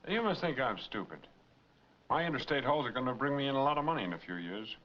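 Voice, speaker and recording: An actor playing a stubborn, harried owner of a small trucking business, curt and firm, in 1940s film noir style. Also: male voice, medium pitch, sing-song, quick pace, close to an everyday voice. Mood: curt, guarded. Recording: muffled, noisy 1940s film soundtrack.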